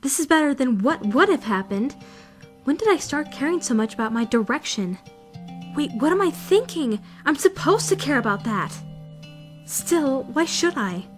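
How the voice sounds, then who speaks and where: A woman's voice: high voice, big pitch swings, normal speed. A woman playing an anxious, brooding fourteen-year-old schoolgirl who narrates her self-doubting thoughts in a visual novel fandub. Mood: agitated, questioning. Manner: introspective, questioning, self-critical. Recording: music behind the voice.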